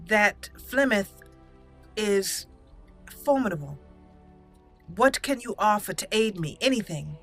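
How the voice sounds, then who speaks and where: Woman roleplaying young female elf mage, formal, deliberate pauses, clear bright tone, video game fantasy, faint music. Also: medium pitch. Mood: grave.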